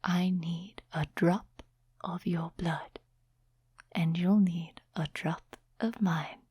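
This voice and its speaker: Woman playing a slow, breathy whispering female demon. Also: on the low side, steady tone, darkish tone, dry recording, clean studio sound, heavily acted. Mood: hushed, instructing. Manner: slow, deliberate, teasing, condescending to mortals. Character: sly, seductive female demon tempting a mortal summoner. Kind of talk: ASMR roleplay, soft spoken and whispered.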